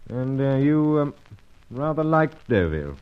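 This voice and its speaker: An actor playing a gallant but guarded British secret agent in a radio adventure serial, with a clipped, hesitant, gentlemanly manner. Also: male voice, medium pitch, sing-song, unhurried, clear, resonant tone. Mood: guarded, jealous. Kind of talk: old-time radio adventure serial acting. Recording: dull old radio recording.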